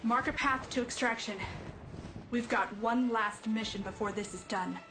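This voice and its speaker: An actress playing a determined, defiant young warrior in a game, terse and resolute, in an echoey, distant recording. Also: female voice, fairly high pitch, moderate pace, over music, close to an everyday voice. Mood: resolute, quiet. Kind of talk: scripted game dialogue acting. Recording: echoey, distant, slightly noisy.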